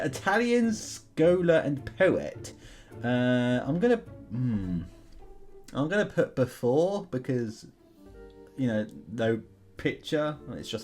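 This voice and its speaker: A young man giving casual, chatty gameplay commentary, informally thinking aloud as he goes. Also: medium pitch. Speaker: gaming video host. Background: music behind the voice.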